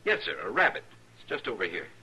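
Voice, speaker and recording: A prim, overly polite scholar. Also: male voice, fairly low pitch, big pitch swings, quick pace, pushed and bright, slight background noise, heavily acted. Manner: overly polite, formal, fussy. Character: prim bookish eastern scholar man. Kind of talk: 1950s radio drama acting.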